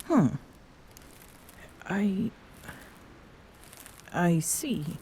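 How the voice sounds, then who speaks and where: A woman voicing a tall, proud Amazonian queen who is smitten and flustered, speaking haltingly in regal phrases for an intimate ASMR roleplay. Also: medium pitch, very animated, mellow, slight background noise, exaggerated voice. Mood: composed, bemused.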